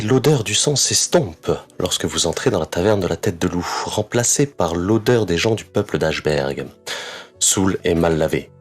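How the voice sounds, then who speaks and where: A man running a tabletop role-playing game as game master, describing scenes in a measured way with some hesitation. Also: fairly low pitch. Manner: descriptive, measured narration with fillers.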